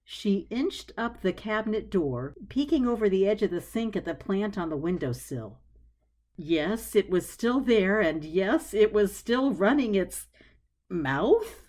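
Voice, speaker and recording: A woman narrating a scripted story as an expressive storyteller. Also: mid-range voice, unhurried.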